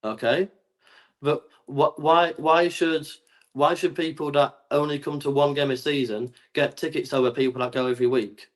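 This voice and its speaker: A man's voice: fairly high pitch, fairly even intonation, normal speed. A man from England arguing his side in a heated debate, stammering and repeating his words. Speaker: guest.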